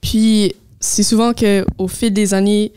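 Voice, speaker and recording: A woman's voice: medium pitch, flat delivery, takes it slowly, warm tone, slight background noise. A young woman from Moncton with a Chiac Acadian accent, chatting casually as a podcast co-host and slipping English words into her French. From Moncton, New Brunswick. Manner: mixes English words into French, many fillers. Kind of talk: casual chat.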